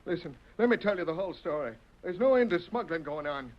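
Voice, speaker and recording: A man's voice: on the high side, animated, fast, natural voice. A man playing a wrongly jailed frontier man in a radio western, with a folksy American West accent. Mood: urgent, hopeful. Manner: folksy rustic Western speech. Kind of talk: 1940s radio drama acting. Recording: noisy lo-fi old radio recording.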